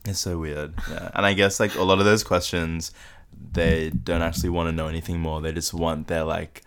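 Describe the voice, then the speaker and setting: Man, Australian accent, very low voice, podcast host, casual chat, lots of "like", laughs easily. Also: flat delivery, normal speed, fairly bright tone, smooth. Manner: says "like" constantly, laughs often.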